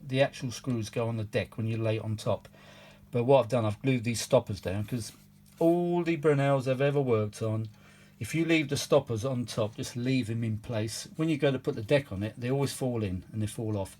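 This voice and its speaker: A man's voice: mid-range voice, normal speed, darkish tone. Chatty, rambling man at a workbench. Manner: chatty, rambling, matey, with tag questions like "eh". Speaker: vintage audio repair hobbyist and video host. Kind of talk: casual hands-on workbench commentary. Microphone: phone held or propped at the workbench.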